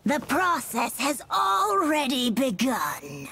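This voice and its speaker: A feral monster woman from a fighting game, high and swooping. She speaks with theatrical, proud menace, drawing out her threats very slowly. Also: huge pitch swings, creaky, over loud music, exaggerated voice. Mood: gloating. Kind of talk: video game fighting banter.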